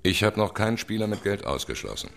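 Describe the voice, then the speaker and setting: An actor playing a curt, cool, unyielding boss of a gambling club in a crime radio drama. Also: male voice, lowish voice, sing-song, fast, vocal fry, fairly bright tone, full character voice. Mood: weary, curt. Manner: terse, unyielding. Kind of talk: hard-boiled radio crime drama acting.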